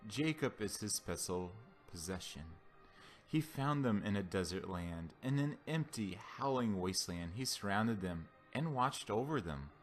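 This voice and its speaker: A man giving a solemn scripture reading as a Bible reader, with deliberate, declamatory phrasing. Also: fairly low pitch, lively intonation. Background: background music.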